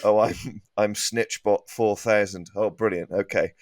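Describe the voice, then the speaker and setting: A man, a comedy podcast guest, riffing in a halting, mock-exasperated manner in casual panel chat. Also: fairly low pitch, fairly even intonation, dry recording, clean studio sound.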